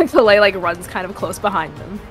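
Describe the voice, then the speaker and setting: Female game player, medium pitch, casual chat with prominent music behind her. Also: very animated, normal speed.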